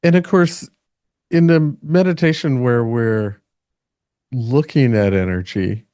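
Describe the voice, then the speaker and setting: Slow, low-voiced man guiding meditation. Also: dry recording, clean studio sound. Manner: gentle, halting delivery with long pauses. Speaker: meditation guide. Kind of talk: gentle guided meditation.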